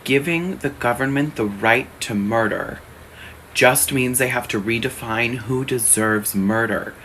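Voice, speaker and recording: A man's voice: medium pitch, a little slow, pushed and bright, slight background noise, rough recording. A man giving scripted commentary in an earnest, explanatory way. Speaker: video commentator in a played clip. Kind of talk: scripted social media commentary.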